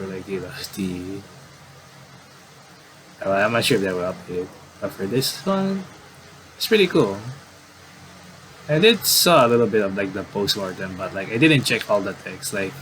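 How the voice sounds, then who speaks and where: A man doing casual game commentary and reading aloud, in a halting, rambling way with repeated words. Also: fairly low pitch, noisy background.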